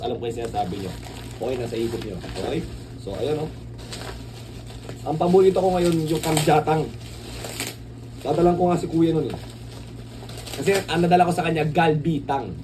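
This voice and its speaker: A man with a Filipino accent chatting casually through an unboxing vlog, rambling and often checking for agreement. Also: fairly high pitch. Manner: rambling, repeats tag questions like asking for agreement. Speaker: vlogger. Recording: clearly noisy, distant microphone.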